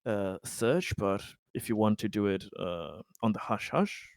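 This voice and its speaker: A man's voice: lowish voice, animated, measured pace, creaky, a little mellow, no room sound, clean studio sound. A man improvising in character in a tabletop roleplaying game, hesitant and often stammering over his words.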